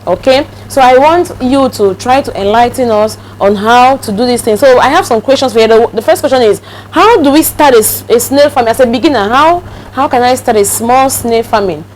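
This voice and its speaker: A woman's voice: a little high, a little fast, slight background noise, low-quality recording. A woman with a Cameroonian accent hosting a casual interview, exclamatory and often addressing her audience directly. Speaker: talk show host.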